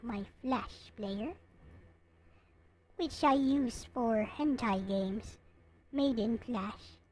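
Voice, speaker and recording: A woman's voice: fairly high pitch, extremely slow, darkish tone, slight background noise. A woman chatting casually to her viewers as she livestreams a game, talking to them directly. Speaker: live streamer. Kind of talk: casual livestream chat while playing and reading aloud a visual novel. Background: game music.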